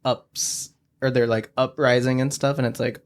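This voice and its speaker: A young man guesting on a podcast, telling stories in a relaxed, rambling way and saying "like" a lot. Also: medium pitch, normal speed. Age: young adult. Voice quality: gravelly, heavy use of "like". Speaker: podcast guest, studio founder. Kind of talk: casual conversation.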